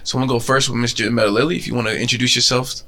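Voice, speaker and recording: An American man with a gravelly voice, hosting a podcast in a casual conversational style. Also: mid-range voice, fairly even intonation, racing, background noise. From Detroit, United States. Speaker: podcast host.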